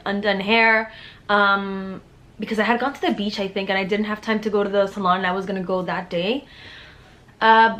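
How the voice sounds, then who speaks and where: A woman's voice: medium pitch, fairly bright tone. A young woman in her twenties from the Dominican Republic, talking openly to her camera in a small bedroom, earnest, with many fillers and restarts. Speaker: vlogger. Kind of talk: candid sit-down vlog. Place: small bright bedroom, indoors.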